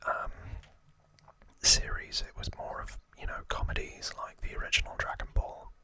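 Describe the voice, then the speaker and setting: A man speaking in a breathy, gentle whisper, hosting an ASMR trivia explainer close to the microphone. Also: low-quality recording. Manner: slow, gentle, hushed delivery. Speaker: ASMR video creator and host.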